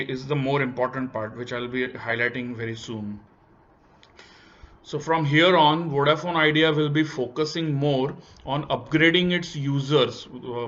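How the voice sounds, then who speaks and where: A man's voice: medium pitch, a bit bright. A man with an Indian accent presenting company analysis over slides, explaining step by step and stressing key points. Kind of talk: semi-scripted slide commentary. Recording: dull, lo-fi voice recording.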